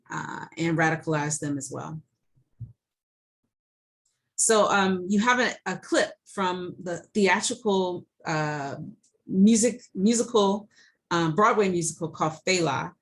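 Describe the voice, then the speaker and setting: Lower-voiced woman giving a relaxed lecture. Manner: conversational, many ums and restarts. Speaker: college professor. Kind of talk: recorded lecture over slides.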